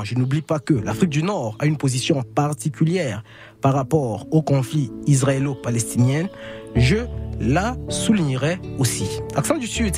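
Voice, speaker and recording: A man hosting a radio show from a studio, presenting in a measured way with frequent hesitations. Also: mid-range voice. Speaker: radio host. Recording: radio broadcast with music behind. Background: music.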